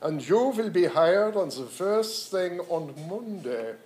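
Man playing stern shady office manager, formal, high-pitched, swooping intonation, audio comedy. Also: lively intonation, darkish tone. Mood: smooth, dismissive. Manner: formal, measured. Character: shady, formal male office boss, stern and smooth-talking. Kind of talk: audio comedy drama acting.

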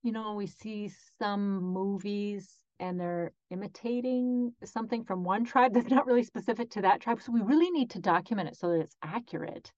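A woman voicing a guest's answers as an interpreter in an interview, speaking in measured phrases with frequent pauses. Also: mid-range voice, darkish tone, dry recording. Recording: dry, slightly dull lo-fi audio.

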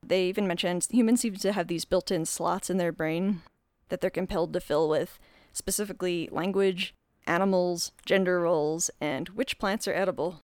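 A man's voice: high voice, fairly even intonation, normal speed, a little mellow, smooth, no room sound. A man co-hosting a podcast, explaining things in an easygoing way. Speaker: podcast co-host. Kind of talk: casual discussion.

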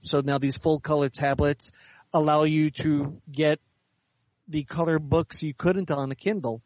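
A man giving measured, articulate, explanatory answers as an author and editor being interviewed in conversation. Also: on the high side, fairly even intonation, darkish tone, dry recording, poor audio.

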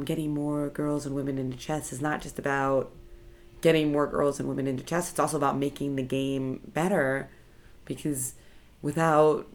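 A woman's voice: deep voice, a little mellow. A woman hosting a podcast, interviewing a guest and asking follow-up questions. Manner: engaged, asks follow-up questions. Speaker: podcast host.

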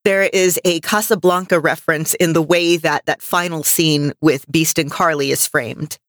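A woman, probably in her thirties, co-hosting a podcast and reflecting casually in thoughtful, halting phrases. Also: sits low, steady tone, no room sound, studio quality. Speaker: podcast co-host. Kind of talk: reflective casual review discussion.

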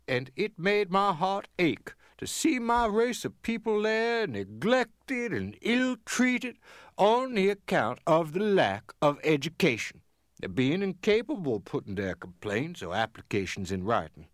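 Dignified Union sergeant, swooping oratory. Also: male voice, high voice, very animated, measured pace, no echo, studio quality. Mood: impassioned. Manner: oratorical, formal, impassioned. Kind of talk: dramatic reading of a formal letter.

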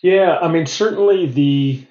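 American man, measured, in an echoey room. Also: fairly high pitch, mellow, highs cut off. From United States. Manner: measured, businesslike, with frequent restarts. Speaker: venture capital partner. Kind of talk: interview answers.

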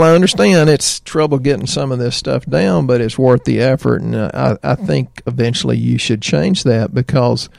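A middle-aged man with a Southern American accent, a doctor chatting in a folksy, rambling way about health, his voice slightly hoarse. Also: medium pitch, a little mellow, no room sound, studio quality. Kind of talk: health talk show chat.

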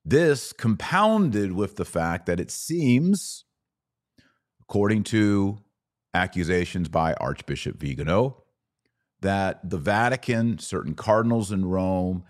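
Male podcast host, very low voice, unhurried commentary with weighted pauses. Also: lively intonation. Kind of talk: political and religious commentary.

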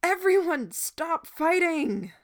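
A woman voicing a desperate teenage boy who shouts and pleads with a crowd. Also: steady tone, slow, vocal fry, exaggerated voice. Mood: desperate shouting. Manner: pleading, shouting, high and loud.

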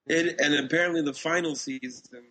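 A man's voice: on the high side, moderate pace, sharp tone, husky, rough recording. A man co-hosting a podcast, giving blunt opinions in casual conversation. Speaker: podcast co-host.

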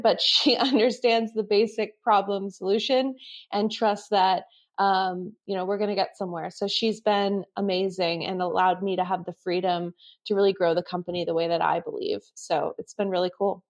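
A woman in her thirties, a company founder, answering interview questions with enthusiasm and frequent ums. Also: mid-range voice. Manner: enthusiastic, lots of fillers. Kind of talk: casual interview chat.

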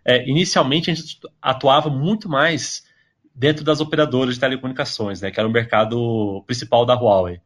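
A man's voice: mid-range voice, fairly quick, fairly bright tone. Man from Brazil, podcast guest, rambling, chatty, filler-heavy. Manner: rambling, many tag questions and fillers. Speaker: interview guest, tech company employee. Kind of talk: casual podcast interview.

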